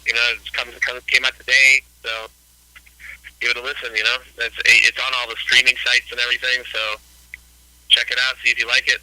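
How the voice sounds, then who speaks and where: A man's voice: medium pitch, sharp tone, no room sound, low-quality recording. A man in his late forties, a guest musician chatting casually in an interview, rambling and laid-back with many fillers. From Ohio, US. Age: 40s.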